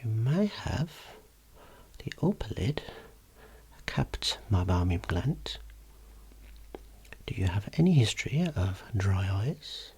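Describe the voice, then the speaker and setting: A person performing an ASMR medical examination roleplay as a dermatologist, speaking in a soft, breathy whisper with a gentle, reassuring clinical manner. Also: low-pitched, very animated, slow, long pauses.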